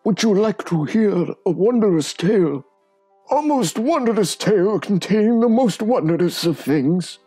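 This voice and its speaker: A man acts an old storyteller from a storybook. He sounds whimsical and a little fussy, pitched high, with grand, lilting swings in intonation. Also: lively intonation, unhurried, warm tone, slightly rough, faint music behind, exaggerated voice. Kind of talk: theatrical storybook narration.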